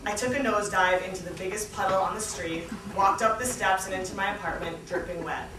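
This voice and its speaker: A young adult woman giving an animated, comedic live reading of a memoir to an event, performing the dialogue as she goes. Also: medium pitch, fairly quick, crowd noise. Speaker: guest reader at a book launch. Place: small theatre stage at a lectern, indoors. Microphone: distant camera. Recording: roomy, noisy, distant event recording.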